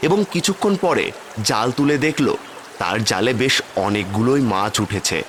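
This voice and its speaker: A man's voice: medium pitch, sing-song, normal speed, noisy background. A man narrating a scripted cartoon folk story.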